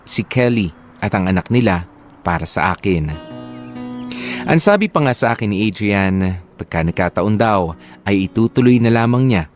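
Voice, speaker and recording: A man's voice: lowish voice, lively intonation, normal speed, long pauses. A man with a Filipino accent narrating a love letter as a dramatic radio-style story. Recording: soft music behind the voice.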